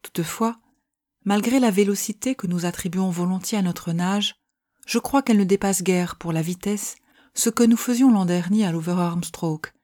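Woman reading old letters in a mellow voice. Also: medium pitch. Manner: measured, gentle letter reading. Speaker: reader. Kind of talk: reading historical letters aloud.

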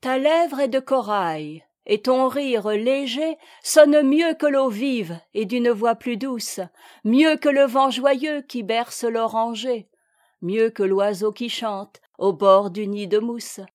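A woman's voice: a little high, slow pace, darkish tone, dry recording, studio quality. A woman reciting poetry as a volunteer reader.

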